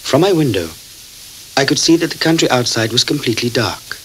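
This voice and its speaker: An actor playing a polite, businesslike gentleman traveler in a radio drama, speaking in a formal, measured way. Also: medium pitch, expressive, rough recording. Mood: measured narration. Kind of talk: radio drama acting with first-person narration. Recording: hissy 1979 cassette tape recording.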